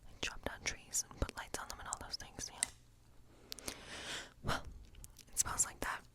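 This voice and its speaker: A woman voicing a playful, boastful woodland witch in a breathy, intimate whisper for an ASMR fantasy role play. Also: close to an everyday voice. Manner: intimate, chatty, teasing, repeats words softly. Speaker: ASMR performer.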